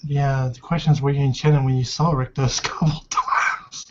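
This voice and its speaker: A man's voice: medium pitch, fairly even intonation, normal speed, very noisy. A man in a tabletop roleplaying session, casually describing characters and discussing the game. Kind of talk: casual improvised game narration. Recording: muffled lo-fi voice recording.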